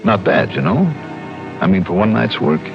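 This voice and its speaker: A man's voice: lowish voice, big pitch swings, normal speed, creaky, faint music behind. An actor playing a cool, wry investigator narrating his case in a 1960s radio detective drama. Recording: muffled old radio recording.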